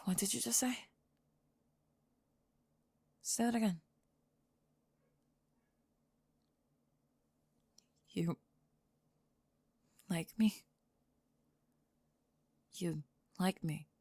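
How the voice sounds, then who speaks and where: A woman's voice: on the low side, drawn-out words, vocal fry, pauses often, mellow, husky, no room sound, exaggerated voice. A woman playing a flustered young goth girl with a secret crush, rambling and stammering breathlessly in an ASMR roleplay. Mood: stunned, indignant. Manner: rambling, stammering, breathless panicked over-explaining.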